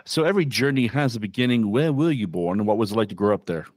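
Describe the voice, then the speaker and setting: A man's voice: on the low side, expressive, fast talker, a little mellow, no echo, clean studio sound. A man hosting a podcast, giving emphatic, enthusiastic introductions and asking interview questions. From Wales. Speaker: podcast host.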